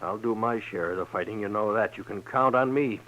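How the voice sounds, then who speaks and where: An American actor playing a jittery, earnest small-town storekeeper in a 1950s radio western, fussy, folksy and excitable. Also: male voice, lowish voice, fairly quick. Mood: anxious, earnest. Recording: muffled 1950s radio recording.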